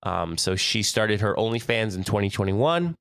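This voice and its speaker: A man's voice: on the low side, big pitch swings, moderate pace, vocal fry, fairly bright tone, clear, resonant tone, dry recording, studio quality. An American man co-hosting a podcast, chatty and laughing often, his voice stuffy from allergies. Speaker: podcast co-host. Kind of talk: casual podcast chat.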